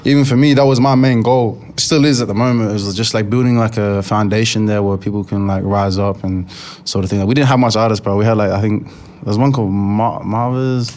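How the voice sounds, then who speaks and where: A young Australian man, a rapper, chatting in a laid-back, halting way full of slang and fillers. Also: fairly low pitch, fairly even intonation, darkish tone. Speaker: rapper guest. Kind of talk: casual podcast interview.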